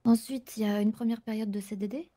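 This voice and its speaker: Une femme jouant une patronne de garage organisée dans un jeu de rôle improvisé, qui explique en hésitant. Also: female voice, a little high, steady tone, fast, dark tone, natural voice. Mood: calm, explanatory. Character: business-like woman boss of a car garage, orderly. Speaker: manager recruiting a new employee. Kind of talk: improvised online roleplay dialogue.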